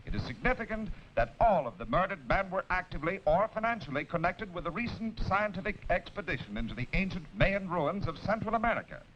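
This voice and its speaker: A man's voice: sits high, lively intonation, quick pace, few pauses. A man narrating a 1940s film serial from a script in a formal, dramatic manner. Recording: dull, noisy 1940s film soundtrack.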